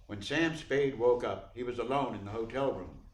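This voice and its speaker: A man's voice: medium pitch, moderate pace, a little mellow, lightly acted. A man playing a formal, emphatic 1940s radio announcer who also narrates the drama. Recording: echoey room.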